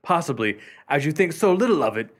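This is a man acting a pompous gentleman collector in a radio play. His voice sits slightly high for a man and swings widely in pitch. He speaks formally and with proud, competitive deliberation. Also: big pitch swings, fairly quick. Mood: smug, needling.

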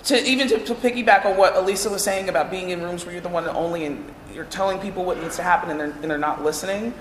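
A woman on a podcast panel, speaking in a measured, emphatic way. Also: on the low side, a little hiss or hum. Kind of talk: casual panel discussion. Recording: echoey live room, long reverb.

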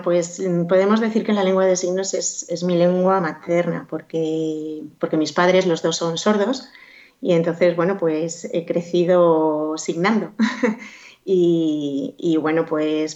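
A woman with a lowish voice answers interview questions at length. She hesitates, fills gaps with little sounds and circles back to finish her thoughts. The recording sounds dull and lo-fi. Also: darkish tone. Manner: hesitant, many fillers and restarts. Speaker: guest. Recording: dull lo-fi audio.

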